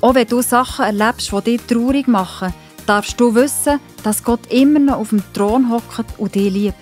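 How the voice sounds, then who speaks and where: Female narrator, Swiss German accent, fairly high voice, expressive children's storytelling over music. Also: normal speed. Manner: expressive, addressing child listeners directly.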